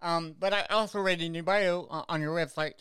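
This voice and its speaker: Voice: mid-range voice, fairly even intonation, a little fast, fairly bright tone, clean tone, dry recording. An interview host asking rambling, loosely worded questions in a casual conversation. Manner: rambling, filler-heavy questions.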